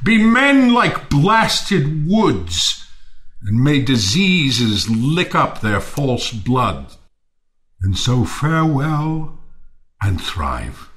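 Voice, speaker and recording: Volatile, embittered Shakespearean lord. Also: male voice, medium pitch, sing-song, drawn-out words, exaggerated voice. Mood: grim dismissal. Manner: declamatory, volatile, swinging from murmurs to shouts. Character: embittered, misanthropic, wild-tempered ruined lord cursing mankind. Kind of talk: Shakespearean stage-style audio drama acting.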